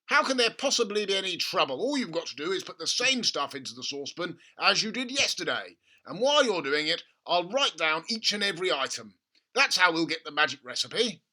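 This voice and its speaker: Man playing loud, wildly excitable storybook dad. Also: high voice, a little fast, voice pushed up, full character voice. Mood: frantic, impatient. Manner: shouting, exclaiming, overbearing. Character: excitable, domineering middle-aged father with grand schemes.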